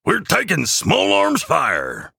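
A man's voice: medium pitch, wildly swooping pitch, a little slow, creaky, pushed and bright, no room sound, pitched above the performer's own voice, heavily acted. A man voicing an eager, focused elf tech operator reporting in military fashion in a comic audio story. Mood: urgent.

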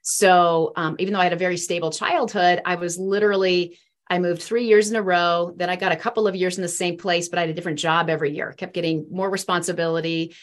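This is a woman in her forties from the Midwest who talks at length about her life. She comes across as earnest and rambling, with plenty of fillers along the way. Her speaking voice sits a little low. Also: fairly even intonation, fast talker. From East Central Illinois. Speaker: guest. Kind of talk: faith testimony in a podcast interview.

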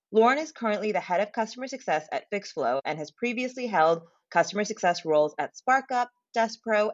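Woman, podcast host, upbeat read intro, very low voice, dull muffled sound. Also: no room sound. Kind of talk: scripted podcast intro and interview.

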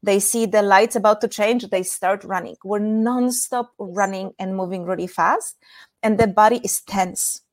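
A woman's voice: medium pitch, steady tone, normal speed. Polish-accented woman explaining intimacy. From Poland. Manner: earnest, explanatory, guides listeners through exercises. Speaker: intimacy coach guest. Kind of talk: podcast interview.

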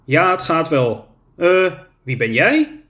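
A man's voice: a little high, very animated, slow, a bit bright, voice pushed up, heavily acted. A man reading a storybook and voicing an elderly son worried about his mother. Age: older.

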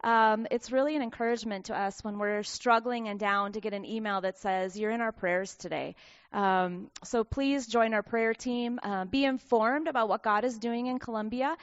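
Missionary woman, earnest church update; smooth, close and dry, muffled audio. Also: on the high side, fairly even intonation, no echo. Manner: earnest, with frequent filler pauses. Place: church sanctuary.

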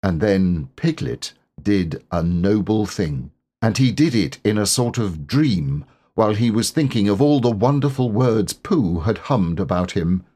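A man reading a children's story aloud as narrator, in a measured, careful and expressive way. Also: on the low side. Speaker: audiobook narrator, voice actor.

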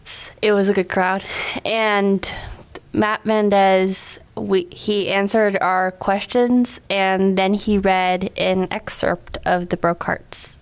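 A young woman in her teens speaks on a podcast panel. Her pitch is middling, and she sounds like a student reading or giving her thoughts. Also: fairly even intonation, slow, clean tone. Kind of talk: scripted reading and hesitant discussion.

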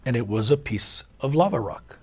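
A man's voice: medium pitch, animated, moderate pace, a little mellow, breathy edge. A middle-aged man, a podcast host telling a family story in casual chat, with many fillers and restarts. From Hawaii.

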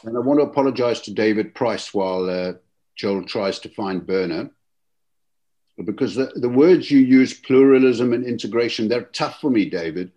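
A man leading a webinar discussion, speaking in a gentle, reflective and courteous way over a video call. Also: mid-range voice, moderate pace. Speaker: webinar panelist and host.

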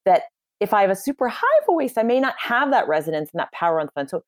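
A woman's voice: medium pitch, big pitch swings, fast talker, dry recording, studio quality. A woman giving confident, emphatic advice on communication in a podcast interview. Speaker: communication coach for lawyers.

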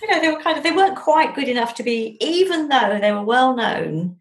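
A woman's voice: sits high, lively intonation, brisk. Chatty English woman telling stories. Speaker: interview guest, novelist. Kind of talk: casual conversational storytelling.

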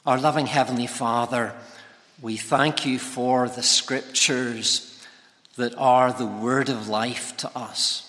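A man preaching a sermon as a guest speaker, with a measured, deliberate delivery and emphatic phrasing. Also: medium pitch, pushed and bright. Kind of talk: sermon with prayer. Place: large reverberant church hall. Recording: long hall reverb.

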